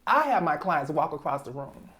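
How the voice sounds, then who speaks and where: A woman's voice: low voice, normal speed. A woman in her fifties, a guest hairstylist on a talk show, speaking emphatically and repeating herself. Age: 50s. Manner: emphatic, repeats herself, talks with relaxed confidence. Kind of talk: casual interview chat.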